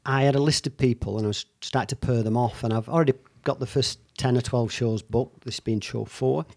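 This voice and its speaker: A man's voice: mid-range voice, a little fast, gravelly, darkish tone. A man from England hosting a casual video podcast chat, laughing often as he talks. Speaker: podcast host. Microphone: video podcast mic.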